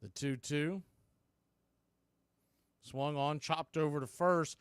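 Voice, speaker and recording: A man's voice: on the high side, steady tone, slow, smooth, no room sound. A man giving live baseball play-by-play commentary as an announcer, in clipped phrases that swell with the action. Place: indoors, calling the game from a video feed.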